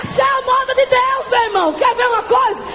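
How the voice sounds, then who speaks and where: A woman in her twenties preaching her testimony in a fervent, shouting evangelical sermon, stretching words for emphasis. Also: extremely high pitch, fairly even intonation, fast talker, faint music behind, some chatter behind. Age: 20s.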